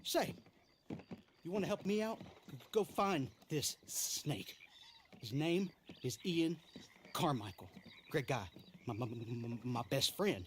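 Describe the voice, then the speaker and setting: Stuttering, jittery, bitter outlaw. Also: male voice, on the high side, animated, takes it slowly, long pauses, slight background noise. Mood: suspicious. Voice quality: heavy stutter. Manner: nervous, rambling, suspicious. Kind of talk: video game character acting.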